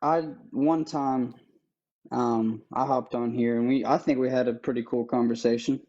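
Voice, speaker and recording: Guest caller, man in his late 20s, middle-pitched voice, dull muffled line, easygoing and jokey. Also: steady tone, normal speed, warm tone. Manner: laid-back, joking, laughs easily. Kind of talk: casual chat.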